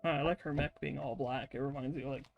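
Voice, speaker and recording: A man's voice: medium pitch, steady tone, quick pace, gravelly, highs cut off. A man co-hosting a let's play, making short informal remarks in casual chat about the game. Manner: informal, brief offhand remarks. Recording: music playing behind the voice.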